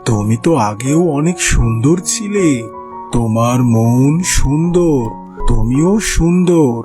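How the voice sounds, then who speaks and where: A man voicing a kind, wise wandering holy man in a cartoon fairy tale, calm and fatherly. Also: medium pitch, slow. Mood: kind, pleased. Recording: music behind the voice.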